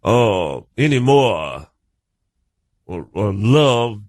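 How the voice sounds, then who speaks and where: A man in an unscripted conversation, halting and repeating himself emphatically, mixing florid formal phrases with slang. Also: fairly low pitch, sing-song, darkish tone, no room sound, studio quality. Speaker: guest being counselled.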